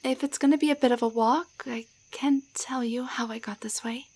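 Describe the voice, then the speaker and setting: A woman playing a shy, grateful young waitress turned into a tiny doll in an intimate roleplay audio drama, speaking softly and haltingly, often near a whisper. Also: fairly high pitch, fairly even intonation. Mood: hesitant, trusting. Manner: hesitant, halting, stammering.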